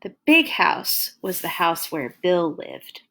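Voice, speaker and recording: A woman hosting a read-along, reading a children's picture book aloud with animated, exaggerated emphasis for young listeners. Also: lowish voice, takes it slowly.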